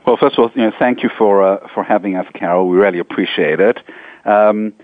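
A middle-aged man from South Africa with a South African accent, giving earnest, measured interview answers full of ums. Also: on the low side, no echo. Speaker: nonprofit executive, guest. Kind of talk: interview answers, personal story. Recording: dry, phone-like narrow sound.

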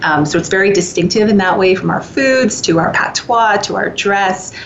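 A middle-aged woman from Trinidad and Tobago giving measured, articulate answers as an interview guest. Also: mid-range voice, gravelly, muffled recording, slight background noise. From Trinidad and Tobago, moved to Canada as a child.

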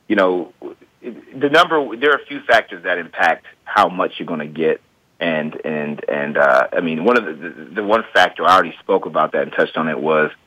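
Relaxed Midwestern man with a very low voice, thinks out loud as a guest, dry close recording. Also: no room sound, low-quality recording. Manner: relaxed, thinks aloud with frequent hedges. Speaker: guest beekeeper and small business owner. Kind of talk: casual interview answers.

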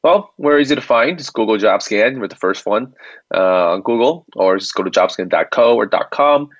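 A man's voice: on the low side, lively intonation, moderate pace, no room sound. A man answering interview questions as a guest in an informal, reassuring, conversational manner over a remote call. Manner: informal, reassuring, often checks with "right?". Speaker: company founder and CEO, interview guest. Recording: dull, dry remote call audio.

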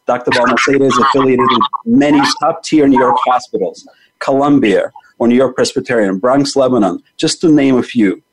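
A man's voice: mid-range voice, fairly quick, breathy edge, rough recording. A man hosting a health talk show, reading a scripted introduction and stressing key numbers by repeating them. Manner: emphatic, repeats key figures and words for stress. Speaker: host.

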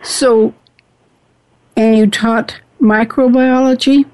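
Slow-talking folksy woman radio host. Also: on the high side, steady tone, a little mellow, no room sound, poor audio. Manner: folksy, homey and chatty. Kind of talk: casual talk radio interview.

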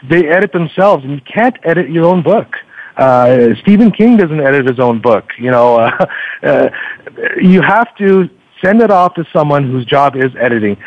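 A man's voice: medium pitch, no room sound. A man speaking as a podcast guest in casual interview chat, explaining things in a wordy, stop-and-start way. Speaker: podcast guest, book reviewer. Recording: dull lo-fi radio-like line.

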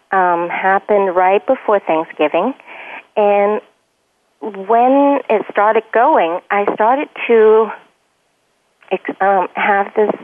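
A woman's voice: mid-range voice, no room sound. Woman from China on a tinny phone line. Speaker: caller to a radio show. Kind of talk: personal, confiding talk on air.

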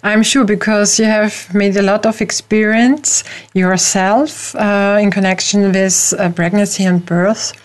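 A middle-aged woman from Austria with an Austrian German accent, hosting a radio talk show in slow, hesitant speech with many fillers. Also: mid-range voice, steady tone.

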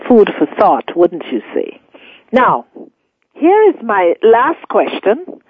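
A woman's voice: mid-range voice, wildly swooping pitch, slow, a little hiss or hum. Woman on a phone-like radio line. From Guyana. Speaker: spiritual teacher hosting a radio course. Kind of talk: unscripted radio talk answering listener questions.

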